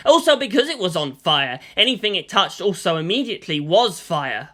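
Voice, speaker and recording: A man's voice: high-pitched, sing-song, normal speed, bright, forceful tone. A man reading action fiction aloud as a dramatic, emphatic audiobook-style narrator. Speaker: story narrator.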